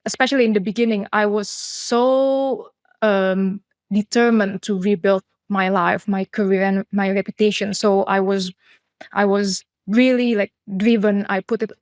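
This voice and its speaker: A woman's voice: mid-range voice, fairly even intonation, measured pace, darkish tone, no room sound. A woman from Indonesia in her thirties, a product leader answering interview questions candidly and reflectively, with frequent fillers and restarts. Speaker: guest, chief product officer.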